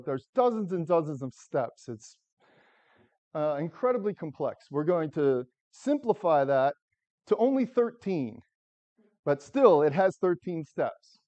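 A middle-aged man from the United States giving a talk in a lecture room without a microphone, loud and engaging, asking the audience questions. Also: sits high, dull audio. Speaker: software architect giving a talk. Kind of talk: conference talk to a live audience. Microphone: far camera, no microphone.

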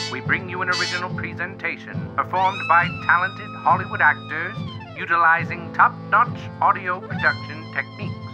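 Swooping high-pitched male announcer over loud music. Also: highs cut off. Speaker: podcast announcer. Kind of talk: theatrical scripted show introduction. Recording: band-limited voice under loud music.

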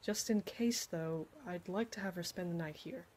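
Calm healer cat voiced by a woman, lowish voice. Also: normal speed, a crowd in the background, close to an everyday voice. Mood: calm, careful. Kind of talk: comic dub character acting.

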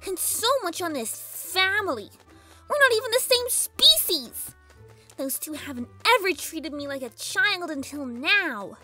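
A very high-pitched little girl pony villain for an audio drama. She is bratty and indignant, whining in exasperation with lively swings of pitch, over clear background music. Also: unhurried, exaggerated voice. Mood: resentful. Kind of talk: fan fiction audio drama acting.

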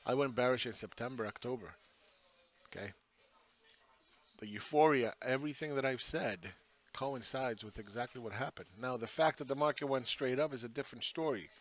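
A man's voice: mid-range voice, normal speed, highs cut off. A man hosting his own channel, ranting sarcastically over charts and firing rhetorical questions at critics.

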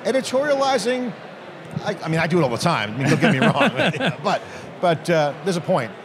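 A man's voice: sits high, big pitch swings, fairly quick, background noise. A middle-aged American man hosting an interview in a hotel hall at a conference, talking energetically and emphatically into a handheld mic. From United States. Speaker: interview host. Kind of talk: conversational interview. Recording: busy crowd chatter behind.

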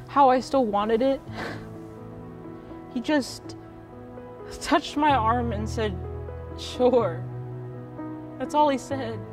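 A woman's voice: on the high side, distant mic. A young woman acting a dramatic monologue as a wry, defiant woman in labour, breathless and pleading. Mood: tender, defiant. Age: young adult. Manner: dramatic, breathless, with sardonic asides. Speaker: student actor. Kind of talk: theatrical monologue performance.

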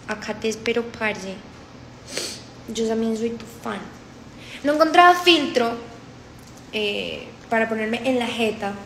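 A woman's voice: fairly high pitch, echoey room, background noise. Chatty paisa woman on a phone live. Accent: Colombian paisa. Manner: chatty, repetitive, mixes in English. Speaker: livestream host. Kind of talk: casual livestream chat, reading comments aloud. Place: room indoors. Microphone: phone held close.

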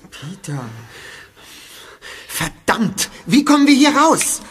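A man's voice: extremely high pitch, big pitch swings, slow, background noise, full character voice. A man voicing a thoughtful teenage detective in an audio drama, pondering and questioning. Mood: tense.

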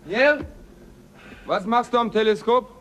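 A man's voice: extremely high pitch, unhurried, noisy background. An actor playing a tired, exasperated crewman caring for a sick shipmate in a radio play. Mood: weary. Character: weary male crewman Mill, nursing a sick mate.

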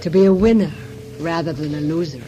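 An actor as a calculating tempter, highish voice. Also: male voice, brisk, dull audio, noisy background, natural voice. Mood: insinuating. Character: calculating male tempter striking a bargain. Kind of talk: radio drama acting.

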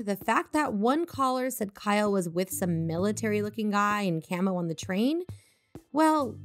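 A woman playing a careful, analytical investigative podcaster in an audio drama, reasoning in a measured way. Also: medium pitch, close to an everyday voice. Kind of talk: audio drama dialogue and narration.

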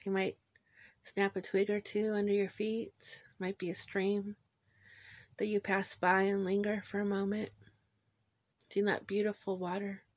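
Woman, medium pitch, slow pace, gentle guided meditation, short phrases with pauses, informal wording. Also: no echo. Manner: gentle, unhurried, pausing between short phrases. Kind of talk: guided meditation, visualization narration.

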